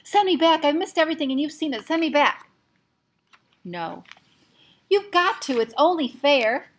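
Storybook boy, high and breathless, babbling; a woman reads him. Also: expressive, drawn-out words, exaggerated voice. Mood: pleading. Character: excited anxious young boy, Patrick.

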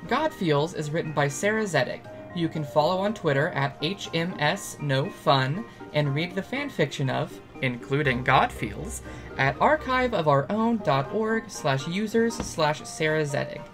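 A woman's voice: low voice, lively intonation, measured pace. Woman reading podcast credits, music behind. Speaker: director and editor. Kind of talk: scripted announcement and credits.

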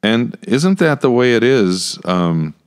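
A middle-aged American man co-hosting a casual podcast, chatty, halting and repeating words as he goes. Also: mid-range voice, very animated, smooth. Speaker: podcast co-host, church pastor. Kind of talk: casual Bible discussion podcast. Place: small indoor room with acoustic panels. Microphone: close podcast microphone with headphones.

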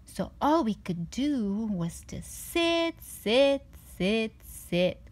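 A woman reading a children's storybook aloud with expressive, deliberate, sing-song rhyming delivery. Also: a little high. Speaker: storybook reader.

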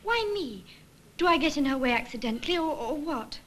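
Casting: earnest junior nurse, high-pitched, hesitant, 1950s film sound with faint noise. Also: female voice, expressive, brisk, fairly bright tone. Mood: anxious, doubtful. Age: young adult. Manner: hesitant, candid. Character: earnest, uncertain young female nurse. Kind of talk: 1950s instructional film acting.

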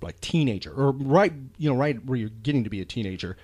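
An enthusiastic man hosts a casual pop culture podcast. His delivery rambles and swings freely up and down in pitch. The sound is dry and intimate, with little room around it. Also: expressive, fast talker, darkish tone, slightly rough, no room sound. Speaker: podcast host.

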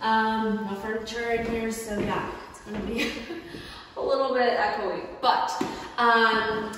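A woman's voice: a little high, fairly even intonation, unhurried, noisy background. A woman in her twenties chatting casually and self-consciously through a vlog tour, with plenty of fillers. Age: 20s. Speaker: vlogger. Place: car, then empty house with bare rooms. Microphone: camera mic, from across the room. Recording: echoey empty rooms, distant mic, background music.

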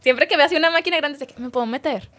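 Woman guest, slightly high voice, lively anecdote-teller. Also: rapid-fire. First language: Spanish. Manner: lively storytelling, quotes others' words. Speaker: interview guest. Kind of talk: casual interview chat.

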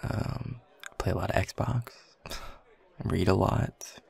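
Casting: male actor as bashful young office newcomer with a crush, low husky fry, halting and self-deprecating, audio roleplay. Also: steady tone, a little mellow, heavily acted. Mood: bashful, awkward. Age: young adult. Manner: hesitant, flustered, stammering, nervous chuckles. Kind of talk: intimate audio roleplay, one side of a conversation.

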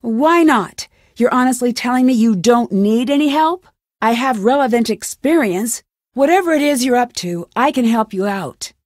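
A woman's voice: a little high, lively intonation, normal speed, a little mellow, dry recording, studio quality. An American woman playing a determined young amateur detective in a video game, assertive and questioning. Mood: insistent, probing. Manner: assertive, questioning, clearly enunciated. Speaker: game protagonist.

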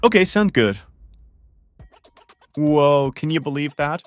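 A man's voice: fairly high pitch, lively intonation, slow pace, no room sound, faint music behind. Young man voicing a flustered popular teen boy. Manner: nervous, stammering, lots of um and uh. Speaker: voice actor in a fan-made game drama.

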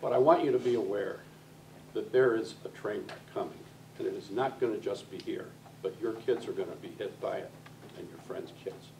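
An American man in his sixties making a deliberate, rhetorical public comment, addressing his listeners directly. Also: fairly low pitch, gravelly, warm tone, reverberant. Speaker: grandparent speaking from the audience. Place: school library, public meeting. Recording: distant mic, noisy room.

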